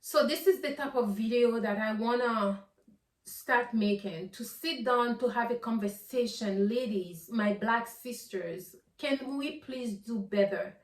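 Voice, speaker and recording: A woman speaks unhurriedly and earnestly, repeating words as she works up to each point. Her voice sits fairly high. She is recorded from a distance in a roomy, echoey space. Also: a little slow. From Haiti. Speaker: podcast host. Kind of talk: earnest solo talk to camera. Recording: roomy sound from a distant mic.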